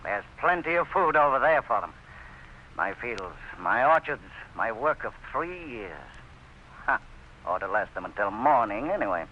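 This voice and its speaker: An actor playing a defiant, domineering plantation owner who barks orders and boasts in old-time radio drama style. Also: male voice, mid-range voice, sing-song, normal speed, lo-fi sound, noisy background, lightly acted. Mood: grim, weary. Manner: commanding, boastful, barking orders.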